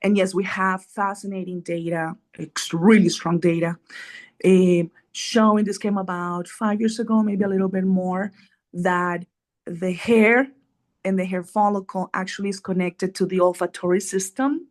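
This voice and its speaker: A woman's voice: medium pitch, steady tone, moderate pace. A middle-aged woman from Colombia, an immunologist guest explaining science in a podcast interview, earnest, often checking in with "right?".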